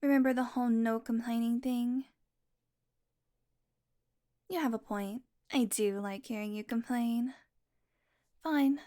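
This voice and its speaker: A woman acts out a female vampire in an intimate audio roleplay. Her voice sits on the high side and she talks very unhurriedly. She is smug and flirtatious, teasing her prey with mock annoyance and possessive fondness. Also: steady tone, slow pace, long pauses, mellow. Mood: coaxing, commanding.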